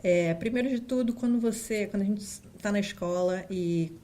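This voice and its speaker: A woman with a Carioca Brazilian accent speaks as an interview guest at a middle pitch. She tells her story casually, with fillers and restarts, and acts out little quotes along the way. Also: normal speed, dark tone. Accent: Carioca Brazilian Portuguese. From Rio de Janeiro, Brazil. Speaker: guest, data scientist. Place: office.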